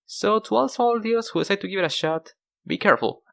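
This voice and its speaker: A man's voice: high-pitched, expressive, brisk, vocal fry, fairly bright tone. Self-deprecating young man telling stories. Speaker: narrator of an animated storytime video. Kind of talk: casual scripted storytelling with acted dialogue.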